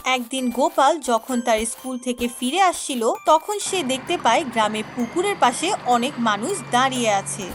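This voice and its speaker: A woman narrating a cartoon story in a clear storytelling manner. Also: high-pitched, lively intonation, normal speed, hardly any pauses, music in the background.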